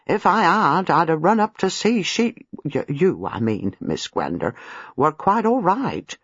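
A man's voice: on the high side, big pitch swings, measured pace, fairly bright tone, husky, no room sound, dull audio, exaggerated voice. A man voicing a nervous, apologetic older female former servant, hesitant and deferential in an audiobook, with rambling rustic turns of phrase. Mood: apologetic, defensive. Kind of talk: audiobook character dialogue.

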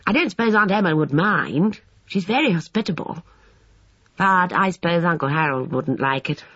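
A woman's voice: lowish voice, animated, normal speed, fairly bright tone, slightly rough, pitched above the performer's own voice. A woman voicing an eager, polite, chatty schoolboy full of enthusiastic slang in an audiobook. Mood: chatty, informative. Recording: dull, roomy, echoing sound.

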